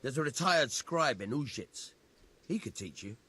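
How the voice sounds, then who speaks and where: An actor playing a gruff, weary village bailiff in a video game, blunt and grudging in how he talks. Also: medium pitch, expressive, normal speed, over loud music, lightly acted. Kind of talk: video game cutscene acting.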